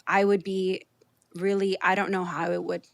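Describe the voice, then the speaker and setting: A woman's voice: lowish voice, level tone, normal speed, vocal fry, no echo. A Canadian woman in her thirties, a podcast guest talking openly and earnestly, with lots of "you know" fillers. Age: 30s. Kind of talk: candid conversational interview.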